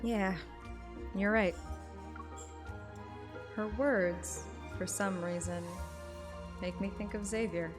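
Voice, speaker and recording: A woman's voice: medium pitch, steady tone, takes it slowly, long pauses, over music. A woman livestreamer with a playful, chatty manner, talking casually and reading a game story aloud. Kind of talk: casual stream chat and expressive game read-aloud.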